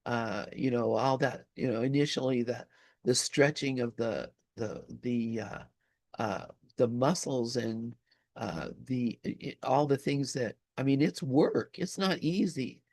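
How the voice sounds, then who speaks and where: A man leading a spiritual study group in an informal, conversational talk, often halting as he searches for words. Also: mid-range voice, darkish tone, no room sound, studio quality.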